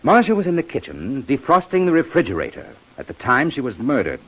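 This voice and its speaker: An American actor playing a sharp, methodical police inspector with a probing, accusatory manner in a 1950s radio detective drama. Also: male voice, mid-range voice, huge pitch swings, a little fast. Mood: accusing, probing. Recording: dull vintage radio recording.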